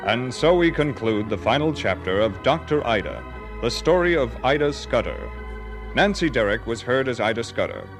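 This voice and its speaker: A man announcing a radio drama, reading scripted narration in a formal, measured and solemn manner. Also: mid-range voice, lively intonation, music in the background.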